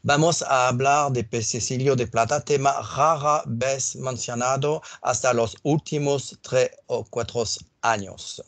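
A man speaking Spanish as a second language with a foreign accent, presenting a technical webinar in a slow, halting way with frequent fillers. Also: medium pitch, fairly even intonation, a bit bright, no room sound. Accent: non-native Spanish. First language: not Spanish.

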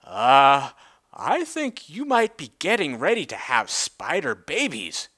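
A man voicing a big, gentle, simple-minded male spider in an earnest, eager way for a storybook reading. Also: fairly high pitch, wildly swooping pitch, takes it slowly, full character voice.

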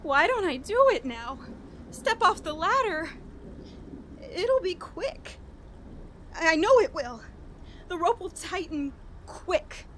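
An actress playing a frantic, haunted woman on the edge of madness in a horror radio play, halting and overwrought. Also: very high voice, big pitch swings, fairly bright tone, a little hiss or hum, full character voice. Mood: desperate, afraid. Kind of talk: 1940s radio horror acting, dramatic monologue.

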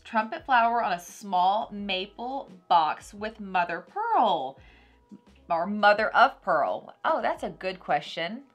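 Bright-voiced Texan woman, gushing and animated. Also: medium pitch. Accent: Texan American. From Texas, United States. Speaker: unboxing video host. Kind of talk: casual product unboxing chat. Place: small decorated room at a table, indoors.

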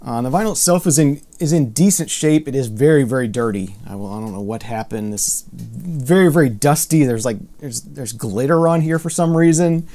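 A man's voice: medium pitch, fairly quick, few pauses. A man in his thirties casually reviewing vinyl records to camera in a room lined with record shelves, talking in a loose, rambling way with lots of fillers and restarts. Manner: relaxed, rambling, many fillers and restarts, mildly exasperated. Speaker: vinyl collector vlogger.

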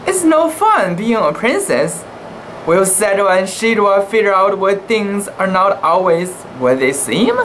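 Young guy doing dramatic kids book reading, slow and cooing, noisy outdoor camera audio. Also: sits high. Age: young adult. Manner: expressive, cooing, drawn-out words. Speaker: storybook reader. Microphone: camera at close range. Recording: steady background hum, outdoor noise.

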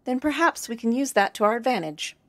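A woman playing a cold, calculating military commander in an audio drama, stern, measured and condescending. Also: fairly high pitch, expressive, fairly bright tone, no echo.

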